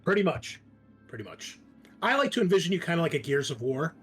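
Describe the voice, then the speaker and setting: A man's voice: fairly high pitch, very animated, a little fast, slightly rough, soft background music. A man hosting and running a tabletop game session, chatting casually and welcoming his players in a friendly way. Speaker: game master and show host.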